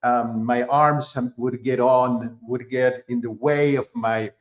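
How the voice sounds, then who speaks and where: A middle-aged man from Argentina speaks as an interview guest at a medium pitch. He talks in a halting way, full of fillers, restarts and pauses. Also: steady tone. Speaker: guest, concert pianist. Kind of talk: conversational interview.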